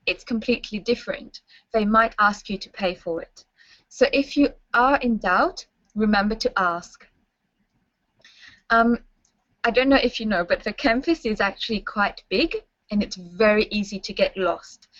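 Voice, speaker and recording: A young woman guides students through a webinar, friendly and instructive, often checking they follow along. The sound is dry with no room echo, and slightly muffled and dull. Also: mid-range voice. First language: French. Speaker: university staff presenter. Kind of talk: webinar presentation.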